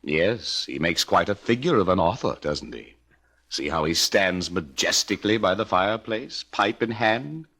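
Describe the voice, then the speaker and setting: A pompous, disdainful family uncle in old-time radio mystery. His voice is very deep and rough. He drawls with sardonic, mock-polite polish. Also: expressive, moderate pace, a bit bright, exaggerated voice. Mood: snide. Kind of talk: 1940s radio drama acting.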